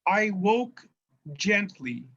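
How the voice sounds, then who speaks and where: Slow, careful man with a New Jersey accent. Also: high-pitched, very animated, sharp tone, highs cut off. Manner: slow, careful speech after a brain injury, occasional word restarts. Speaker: minister. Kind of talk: sermon.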